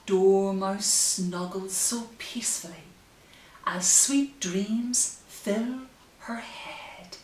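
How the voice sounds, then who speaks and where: A woman reading picture books aloud to young children, gentle and expressive, with drawn-out phrases and hushed asides. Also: mid-range voice. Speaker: story time host. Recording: roomy, distant mic with faint hiss.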